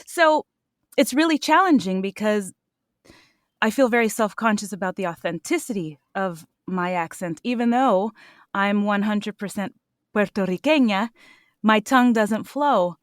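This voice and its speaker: Woman voice pro, mid-range pitch, earnest and lively, home studio sound, no room. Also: unhurried, dry recording. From United States. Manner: earnest, animated, laughs often. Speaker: voice actor guest. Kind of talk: interview answers.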